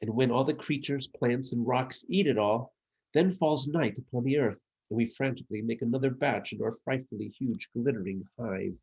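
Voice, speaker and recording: Man as a zippy sunbeam bee, husky, frantic, busy, storybook character. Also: medium pitch, darkish tone, highs cut off. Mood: busy, boastful. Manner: rapid, buzzy alliterative chatter.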